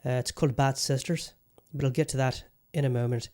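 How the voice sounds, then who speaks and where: A man's voice: medium pitch, steady tone, fairly quick, mellow. A man hosting his own podcast in a casual solo chat, upbeat and rambling, often repeating his phrases. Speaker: podcast host.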